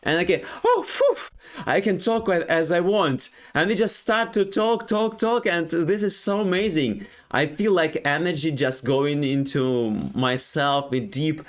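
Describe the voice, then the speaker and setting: A young Ukrainian man with a Ukrainian accent, speaking English as a second language in a halting personal monologue full of fillers and restarts. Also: fairly high pitch, lively intonation. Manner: halting, many fillers and restarts, non-native grammar. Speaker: streamer.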